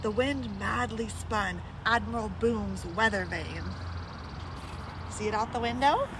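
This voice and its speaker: A woman's voice: medium pitch, slow, background noise. A woman reading a children's book aloud as a storyteller, expressively, speaking directly to young listeners with chatty asides.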